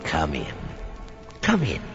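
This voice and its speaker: An actor playing a sinister old storyteller telling a spooky Halloween tale in a theatrical, dramatic way. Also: male voice, rumbling low, wildly swooping pitch, full character voice. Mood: creepy, inviting. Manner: slow, dramatic, whispers and outbursts. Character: sinister old storyteller welcoming listeners into his home. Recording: dull lo-fi recording. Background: music.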